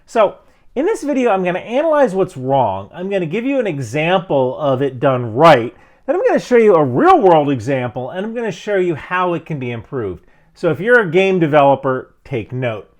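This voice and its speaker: A man's voice: fairly high pitch, big pitch swings, normal speed. A middle-aged American man giving emphatic, instructive commentary as a video host. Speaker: video host, military veteran. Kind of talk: explanatory commentary to camera.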